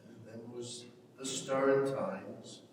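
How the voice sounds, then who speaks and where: A man's voice: medium pitch, slow, natural voice. A man playing a stubborn, brooding old Irish farmer and father in a dramatic stage reading. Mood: overbearing, bargaining. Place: large reverberant indoor hall, from far off. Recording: very echoey hall, distant mic, noisy.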